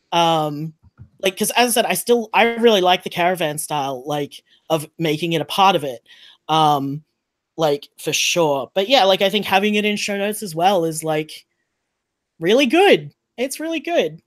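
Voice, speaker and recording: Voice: mid-range voice, expressive, normal speed. A podcast panelist chatting casually, rambling and emphatic, doubling back on words.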